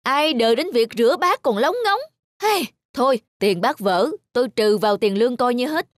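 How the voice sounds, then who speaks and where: A woman's voice: fairly high pitch, expressive, normal speed, dry recording, natural voice. A woman playing a harsh, impatient, stingy middle-aged employer in a cartoon, scolding sharply. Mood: angry, scolding. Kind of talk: cartoon voice acting.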